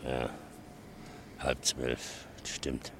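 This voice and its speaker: An actor playing a chatty but cautious bar regular in a German radio play, colloquial, wry and gossipy. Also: male voice, a bass voice, monotone, very slow, a bit bright, slight background noise, full character voice. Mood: wary.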